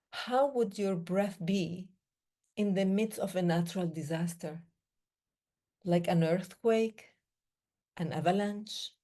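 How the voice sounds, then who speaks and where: Slow, soft-spoken woman, Middle Eastern-born. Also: medium pitch. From Middle East. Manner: slow, measured, with pauses between short phrases. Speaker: breathing day speaker. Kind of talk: reflective talk.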